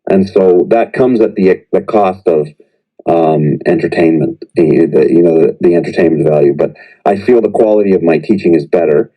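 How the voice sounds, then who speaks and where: A middle-aged man, a professor who teaches English, talking through his teaching experience in a relaxed podcast chat, often pausing and restarting his sentences. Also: low voice, dull audio. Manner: reflective, hesitant, many restarts and fillers. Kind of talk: conversational podcast interview.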